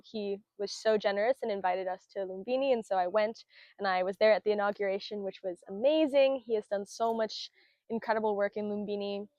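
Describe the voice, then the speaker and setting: A young woman in her twenties from Florida tells her story in an earnest, casual way. She pauses on fillers and laughs lightly between thoughts. The sound is a little muffled and very dry. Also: medium pitch, a little mellow, dry recording. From Florida, United States. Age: 20s. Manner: earnest, lots of fillers and laughs, acts out quotes. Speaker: interviewee, Buddhist student. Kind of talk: casual spoken interview.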